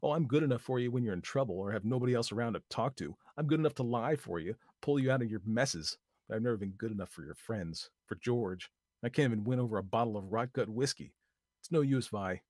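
A man's voice: medium pitch, expressive, fast, a little mellow, no room sound, clean studio sound, voice pushed up, natural voice. A man reading aloud voices a bossy, scornful young woman who snaps and talks vulgar when angry.

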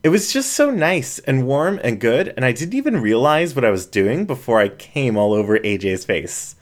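A woman's voice: rumbling low, very animated, moderate pace. A reader voicing a shy young female raccoon who talks in hesitant, emotional bursts. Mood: shy, tender.

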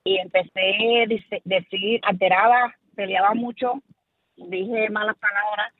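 A Cuban-born woman in her fifties calling in to a radio show, telling a family story in an animated way. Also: medium pitch, a little slow, no room sound. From Cuba. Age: 50s. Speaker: caller. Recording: phone line.

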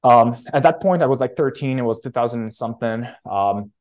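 A man, answering interview questions in a casual, halting way with many restarts. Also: mid-range voice, darkish tone. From Romania. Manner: halting, frequent self-restarts and fillers. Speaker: startup founder guest.

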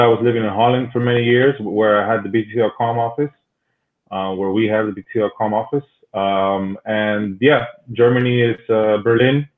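A man whose first language is Spanish, talking in an easygoing way as a guest in a casual podcast interview. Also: lowish voice. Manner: talkative, easygoing. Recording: tinny phone-like line.

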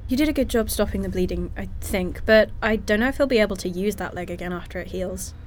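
A woman's voice: mid-range voice, quick pace, lightly acted. Actress as a blunt but caring woman in an audio drama, bit awkward, faint background noise. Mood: blunt, concerned. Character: blunt but considerate woman checking on the wounded.

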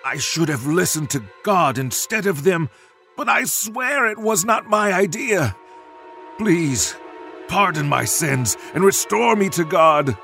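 A man's voice: high voice, expressive, takes it slowly, gravelly, soft background music, pitched above the performer's own voice, heavily acted. A man voicing a proud, blustering, insecure ancient king who swings between pride and pleading. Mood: desperate. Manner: loud and wavering, shifting between pride and pleading. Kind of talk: storybook drama reading.

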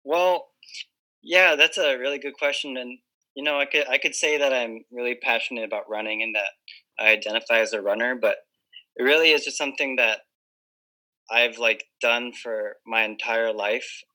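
A man's voice: mid-range voice, fairly even intonation, moderate pace, sharp tone, low-quality recording. A man in his twenties from Hawaii, a podcast guest on a video call, talking earnestly and reflectively with many fillers. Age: 20s. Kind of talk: casual interview chat.

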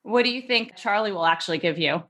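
A woman's voice: mid-range voice, lively intonation, fast talker, a bit bright. A woman hosting an interview, asking questions with a teasing, playful manner. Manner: teasing, laughs easily. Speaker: podcast host. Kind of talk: casual interview.

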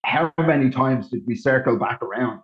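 A man's voice: medium pitch, moderate pace, lo-fi sound. A man co-hosting a podcast in casual chat, reflective and agreeable, with long rambling sentences. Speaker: podcast co-host.

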